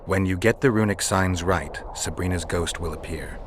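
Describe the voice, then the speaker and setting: An actor playing a stoic, world-weary monster hunter in a video game, gravelly and matter-of-fact. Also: male voice, low-pitched, moderate pace, noisy background, natural voice. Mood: calm, instructing. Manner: terse, flat, matter-of-fact.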